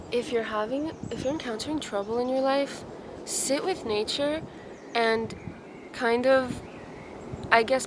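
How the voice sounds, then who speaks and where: A woman in her twenties talking through her thoughts in a casual outdoor vlog, musing and full of "like". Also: fairly high pitch. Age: 20s. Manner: musing, says "like" a lot. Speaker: vlogger. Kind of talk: reflective casual vlog talk. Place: outdoors in a forest. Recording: outdoor noise behind her.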